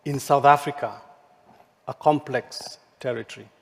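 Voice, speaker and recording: A man who speaks English as his second language, giving a measured, poetic keynote lecture with deliberate rhetorical questions. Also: mid-range voice, fairly even intonation, vocal fry. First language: not English. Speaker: architect giving a keynote talk. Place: large hall at a forum. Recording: long hall reverb, stage mic.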